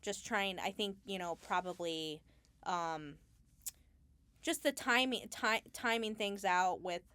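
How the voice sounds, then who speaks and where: A woman's voice: mid-range voice, slow pace, bright, forceful tone, smooth, no room sound. Woman explaining her craft, dry close sound. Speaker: Foley artist, interview guest. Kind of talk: casual, explanatory interview talk.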